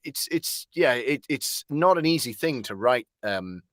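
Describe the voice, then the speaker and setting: A man's voice: mid-range voice, expressive, unhurried, a bit bright, no room sound, studio quality. A man speaking casually as an interview guest, hesitant, trailing off and self-deprecating. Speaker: voice actor guest.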